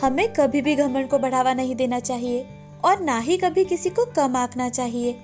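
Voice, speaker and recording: High-pitched woman narrating children's stories. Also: normal speed, darkish tone, faint music behind. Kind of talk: scripted children's story narration.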